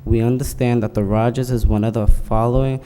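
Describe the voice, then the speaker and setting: A man reading a petition aloud, slowly and haltingly, at a public school committee meeting. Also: mid-range voice, level tone, warm tone, soft background music. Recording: reverberant hall, distant from mic.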